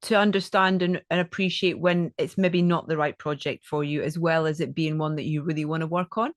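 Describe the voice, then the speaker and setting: A woman's voice: low voice, fairly even intonation, brisk, no echo, studio quality. A woman hosting a podcast interview, speaking conversationally in an encouraging, responsive manner.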